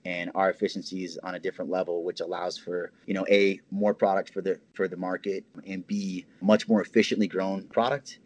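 A man's voice: deep voice, flat delivery, fairly quick, hardly any pauses. An American man talking casually and enthusiastically as an interview guest, explaining things in a conversational way. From Hawaii, United States. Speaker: interview guest, cannabis grower and entrepreneur.

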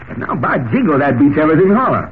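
A man's voice: fairly high pitch, very animated, fast talker. A man playing a folksy, talkative cowboy in an old radio serial, with a drawling American Western accent. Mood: puzzled. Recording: muffled old radio recording with hiss.

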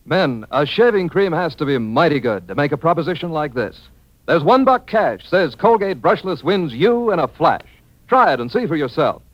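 A man's voice: a little high, big pitch swings, normal speed, dry recording. A man with an American accent announcing in polished, punchy 1940s radio advertising style. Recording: dull dry vintage radio.

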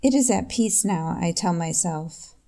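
A woman from New York State introducing herself and reading her own poem in a slow, measured, deliberate way. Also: lowish voice, animated, gravelly, warm tone. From New York State, USA. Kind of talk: poetry reading.

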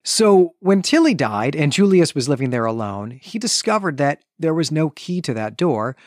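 A man co-hosting a book podcast, giving a measured, analytical recap of the chapter with casual asides. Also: a little high, big pitch swings, a little mellow, no room sound, clean studio sound. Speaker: podcast co-host.

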